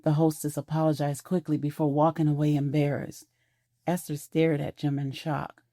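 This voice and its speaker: Brief: female narrator, low and mellow, measured, dry studio. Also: little pitch movement, no room sound. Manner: measured storytelling. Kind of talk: scripted audio drama narration.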